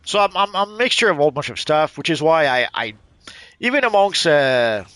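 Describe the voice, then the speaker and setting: A man's voice: fairly high pitch, sing-song, brisk, fairly bright tone, smooth, no echo. A middle-aged man from Quebec with a mixed Quebec accent, chatting in a hesitant, rambling way while playing a game. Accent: Quebec French mixed. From Quebec, Canada. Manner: rambling, hesitant, many fillers and restarts. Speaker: gaming channel host. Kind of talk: casual commentary while playing.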